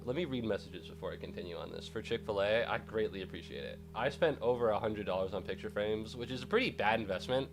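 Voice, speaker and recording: A young man chatting casually on a live stream, congested and rambling with excitable outbursts. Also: mid-range voice.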